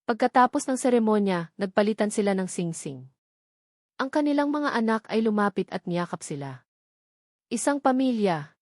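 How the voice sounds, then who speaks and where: A woman with a Filipino accent reads a scripted love story as its narrator. Her mid-pitched voice is earnest and sentimental, with measured, dramatic phrasing. The sound is close and dry, without room echo. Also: a little mellow. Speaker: narrator of online love stories.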